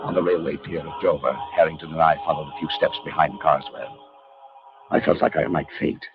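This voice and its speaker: A man's voice: extremely low pitch, steady tone, brisk, over loud music. A man acting an anxious, desperate hero who narrates a supernatural thriller in 1940s radio style, on an old muffled recording. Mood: tense. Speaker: lead actor and narrator in a radio drama.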